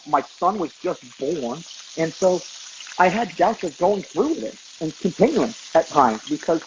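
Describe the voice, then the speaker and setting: Man, chef, casual interview, reflective talk, dull livestream sound, faint frying noise behind. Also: high voice. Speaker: professional chef, interview guest. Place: kitchen, cooking on a livestream. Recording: dull, noisy video call, sizzling pan. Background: food frying and sizzling.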